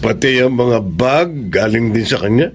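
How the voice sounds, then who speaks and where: An actor playing a gentle, forgiving middle-aged rural father in a Filipino radio drama, with a Filipino accent. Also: mid-range voice, normal speed, breathy edge, lightly acted. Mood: surprised.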